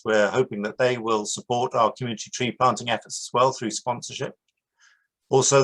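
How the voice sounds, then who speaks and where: Male project director, measured talk, low-ish voice. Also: low-quality recording. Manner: steady, measured, with hesitations. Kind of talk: prepared online talk. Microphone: video call.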